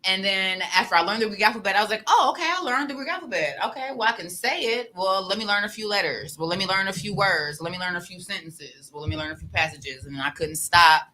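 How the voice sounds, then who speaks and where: A woman and former teacher, guesting on a podcast, telling a story quickly and with animation. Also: medium pitch, bright, forceful tone, poor audio. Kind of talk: casual storytelling.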